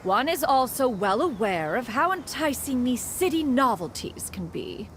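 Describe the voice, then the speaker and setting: A woman's voice: on the high side, sing-song, a little slow, a little hiss or hum. A woman voicing an aloof, dignified immortal with lofty, formal diction in a video game. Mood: composed.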